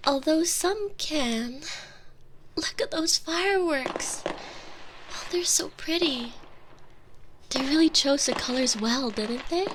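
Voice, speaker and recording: Haughty but lonely young princess, female voice actor, high and very animated, theatrical close-mic ASMR roleplay with whispered asides. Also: slight background noise, exaggerated voice. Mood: wistful, lonely, then wistful, tender. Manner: theatrical, intimate, slipping into breathy whispers. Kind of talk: ASMR roleplay, scripted monologue. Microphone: close binaural microphone.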